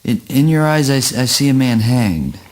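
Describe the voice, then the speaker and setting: A man playing a weary, awed traveler in a theatrical spoken word play, speaking haltingly. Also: medium pitch, expressive, darkish tone, lightly acted. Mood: awed, uneasy.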